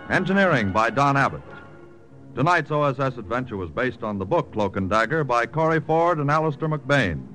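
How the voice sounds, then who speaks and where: A man reads closing credits in the crisp, formal style of an old-time radio announcer. Faint music sits under his voice. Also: medium pitch, expressive, normal speed, a bit bright, rough recording. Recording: old radio broadcast recording.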